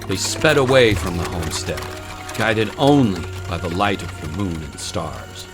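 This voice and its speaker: Man, very low pitch, scripted dramatic narration, storyteller, music behind. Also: expressive, normal speed.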